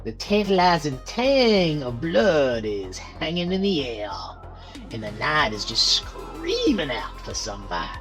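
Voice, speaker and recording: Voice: medium pitch, huge pitch swings, slow pace, over music. An actor playing a gleeful old horror host with a teasing, folksy drawl, introducing a scary audio drama. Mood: gleeful, menacing. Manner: drawling, teasing, relishing the macabre.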